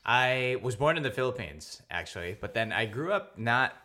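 A man's voice: mid-range voice, expressive, moderate pace, bright, forceful tone. A man from New York City, a comedian guest talking in an easygoing, loose way, peppered with "like" and "um". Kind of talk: casual interview chat.

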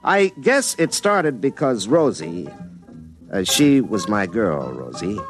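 A folksy young telephone lineman in a vintage radio comedy. He rambles and jokes in everyday slang, his pitch moving up and down in a lively way. Faint music sits behind the old recording. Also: slow pace, heavily acted. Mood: wry, chatty. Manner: rambling, colloquial, joking. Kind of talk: 1950s radio comedy acting.

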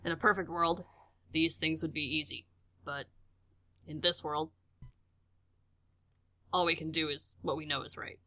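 A woman playing a male park director in an audio drama, measured, compassionate and gently firm. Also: sits low, fairly even intonation. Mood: grave, compassionate. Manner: deliberate, sighing, gently firm. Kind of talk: scripted audio drama dialogue.

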